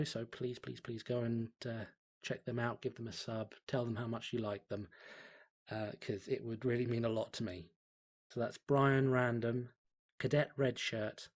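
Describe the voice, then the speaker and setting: A man hosting a story channel, talking casually and earnestly to his viewers in a soft, hesitant way. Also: mid-range voice. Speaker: host, fan fiction reader. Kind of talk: casual outro chat to viewers.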